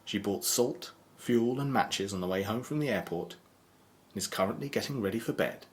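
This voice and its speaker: A man playing the sober narrator of a horror audio drama, measured and matter-of-fact. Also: fairly low pitch, a little hiss or hum, lightly acted.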